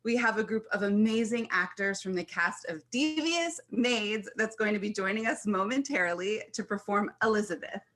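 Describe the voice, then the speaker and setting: A woman's voice: mid-range voice, normal speed, few pauses, fairly bright tone. An American woman hosting an online event as a filmmaking mentor, talking to guests and introducing them. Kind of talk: event hosting on a video call.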